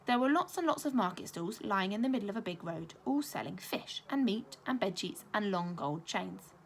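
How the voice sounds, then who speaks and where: A woman reading a children's story aloud like a primary school teacher, expressive and clearly paced. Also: mid-range voice, moderate pace, slight background noise.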